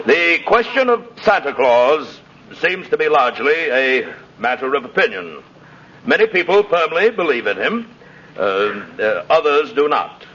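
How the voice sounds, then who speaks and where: Anxious, self-important male judge, medium register, deliberate delivery, dull vintage radio sound. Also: medium pitch, fairly bright tone. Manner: hesitant, pompous, deliberate. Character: flustered, politically anxious male courtroom judge, cautiously official. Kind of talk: 1950s radio drama acting. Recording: muffled, noisy vintage radio recording.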